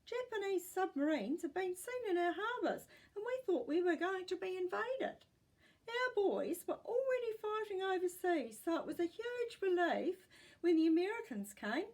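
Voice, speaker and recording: Lady doing a proud old great gran from a storybook, really high voice, swoopy and nostalgic. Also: big pitch swings, moderate pace, a little mellow, exaggerated voice. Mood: earnest. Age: older. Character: elderly great-grandmother, proud, wistful, gently reminiscing. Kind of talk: storybook character voice.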